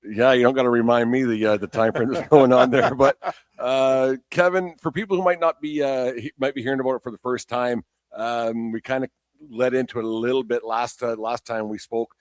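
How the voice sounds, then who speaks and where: A man's voice: medium pitch, steady tone, a little fast, no room sound, clean studio sound. A man presenting as the host of a sports show.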